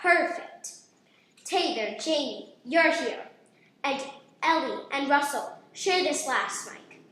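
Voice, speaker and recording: Woman playing high-voiced bossy big sister, echoey room. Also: animated, slow, fairly bright tone, a little hiss or hum, exaggerated voice. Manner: earnest, commanding. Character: anxious, bossy older sister directing a student play. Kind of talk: stage-like amateur radio drama acting.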